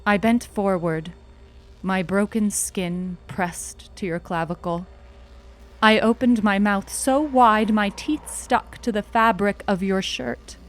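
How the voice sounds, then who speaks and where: A woman narrating a short story in an intimate first person, with a measured, tender, dramatic delivery. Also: medium pitch, steady tone, rough recording.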